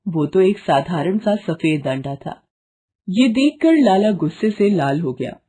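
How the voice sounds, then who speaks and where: Female storyteller for children's fables: lowish, mellow, husky voice with expressive delivery. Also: measured pace, a little hiss or hum. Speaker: storyteller narrator. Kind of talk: scripted children's story narration.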